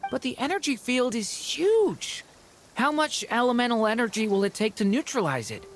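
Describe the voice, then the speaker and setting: A woman voicing an eager, earnest young boy in a video game, inquisitive and excitable in manner. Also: fairly high pitch, moderate pace, slight background noise. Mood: excited. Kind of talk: scripted video game voice acting.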